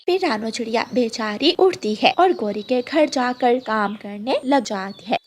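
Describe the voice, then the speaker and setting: High-voiced woman narrating a children's story. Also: a little hiss or hum.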